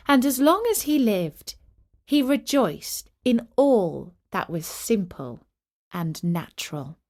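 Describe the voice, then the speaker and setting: A woman narrating a scripted children's story as its host, expressive and carefully phrased. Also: mid-range voice.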